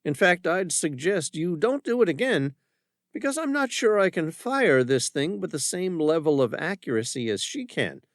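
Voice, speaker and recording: A man voicing a calm, collected armed woman who threatens in a conversational tone. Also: on the high side, normal speed, dry recording, clean studio sound, pitched above the performer's own voice. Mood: menacing.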